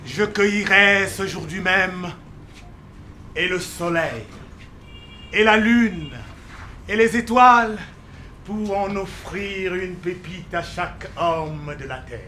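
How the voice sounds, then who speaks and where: A man's voice: high voice, slow pace, pauses often, bright, forceful tone, a little hiss or hum. A man giving a theatrical poetry recital in a solemn, dramatic, declamatory manner. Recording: roomy echo, faint music behind.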